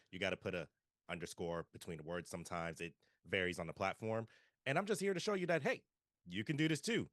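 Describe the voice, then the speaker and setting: A man's voice: fairly low pitch, fairly bright tone, no room sound, clean studio sound. A man talking casually as a guest on a livestream call, in a folksy, encouraging way. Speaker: panel guest, performer. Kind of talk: casual self-introduction. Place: remote video call livestream.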